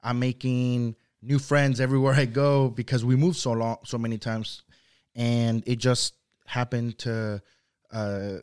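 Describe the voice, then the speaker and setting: A man's voice: mid-range voice, flat delivery, unhurried, a little mellow, smooth. A young man from Mexico whose first language is Spanish, a guest talking casually on a podcast with lots of "you know" and "like". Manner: loose, rambling, full of "you know" and "like". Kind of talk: casual conversational interview.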